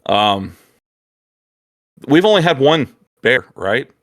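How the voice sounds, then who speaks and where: A man's voice: mid-range voice, very animated, slow, fairly bright tone. A man co-hosting a casual geeky chat, agreeable and thinking aloud as he goes. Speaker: co-host of a hobby video channel.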